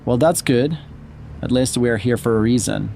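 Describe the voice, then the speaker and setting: A man's voice: mid-range voice, moderate pace, gravelly, warm tone, slight background noise, close to an everyday voice. A man acting a wary, gloomy paranormal investigator in an audio drama. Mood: grim alarm.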